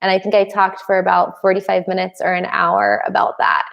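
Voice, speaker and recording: A woman's voice: medium pitch, little pitch movement, fairly quick, vocal fry, highs cut off. A young adult woman hosting a casual live stream Q&A, reading out viewer comments and answering them with frequent laughs. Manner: laughs often between remarks.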